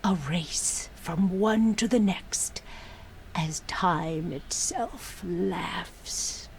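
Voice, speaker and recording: A woman voicing a cryptic, eerie seer in a video game, drifting into breathy whispers as she delivers prophecy. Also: lowish voice, very slow, a little hiss or hum, exaggerated voice. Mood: ominous, trancelike. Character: cryptic, eerie female fortune-telling seer. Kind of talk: video game dialogue acting.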